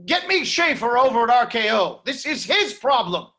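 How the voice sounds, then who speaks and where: A man's voice: very high-pitched, very animated, bright, forceful tone, exaggerated voice. A man playing a brash, flustered Hollywood studio boss who stammers his way through a script table read. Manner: stammering, fast-switching, salesman-like.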